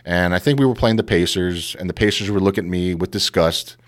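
A low-voiced man tells a story in a casual chat. He is animated and keeps dropping "you know" as he goes, and he acts out the voices of the people in his story. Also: brisk. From Queens, New York. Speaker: guest.

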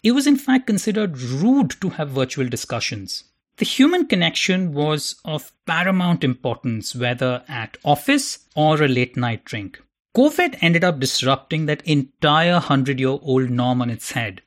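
A man's voice: on the high side, very animated, normal speed, fairly bright tone. A man hosting a podcast who reads his introduction in a theatrical, playful, self-mocking way and then interviews his guests. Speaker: podcast host.

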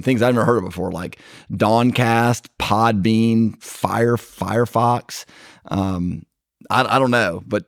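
A man's voice: lowish voice, creaky, no echo, studio quality. A man hosting a casual podcast, talking in a loose, rambling way with fillers and restarts. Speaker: podcast host.

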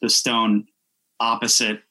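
A man guesting on a podcast tells a story casually. His voice is bright and cutting, with a distinctly husky grain. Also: mid-range voice, monotone, slow pace.

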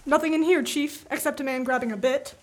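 A woman acting an excitable junior policeman in a radio play. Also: sits high, a little fast, very noisy, natural voice. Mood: brisk. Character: excitable policeman, eager junior to his chief.

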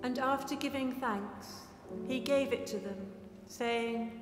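A woman's voice: fairly high pitch, slow pace, music in the background. A woman reading scripted narration for a dramatic Bible reading. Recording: echoey church, long reverb, noticeable noise.

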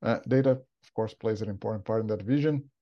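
A Brazilian man in his forties with a Brazilian Portuguese accent, a podcast guest telling his career story in a relaxed, thoughtful way. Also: fairly low pitch, fairly quick, muffled recording. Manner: relaxed and thoughtful, frequent "kinda" and restarts. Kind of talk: casual interview, career story.

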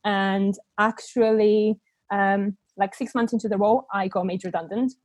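A woman's voice: medium pitch, steady tone, moderate pace, darkish tone, no room sound. A young woman with a Bulgarian accent, speaking as a podcast guest and reflecting candidly in a conversational interview. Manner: reflective, thinks aloud with frequent restarts.

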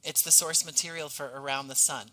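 Bright, clear-toned man chatting as guest. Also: a little high, steady tone, a little fast, low-quality recording. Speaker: podcast guest, playwright. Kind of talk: casual conversational interview.

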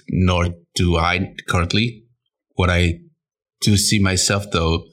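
Casting: low-pitched man from Peru, reflective. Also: fairly bright tone. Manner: thoughtful, uneven pace, speeds up and trails off. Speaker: podcast guest. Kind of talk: casual chat.

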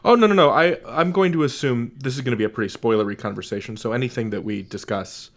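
A man's voice: mid-range voice, fast talker. A man hosting a podcast interview in a conversational style, as the one asking the questions.

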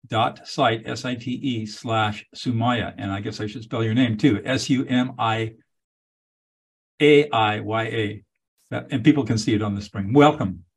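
A man hosting a leadership interview podcast, speaking slowly and deliberately as he introduces his guest. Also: mid-range voice, slightly rough. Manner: slow, deliberate spelling out. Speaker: podcast host.

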